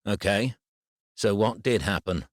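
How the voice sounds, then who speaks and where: A man voicing a worried father in an audiobook, speaking softly and reassuringly to his daughter. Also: on the low side, a little slow, no room sound, clean studio sound, natural voice. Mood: gentle. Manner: soft, coaxing and reassuring.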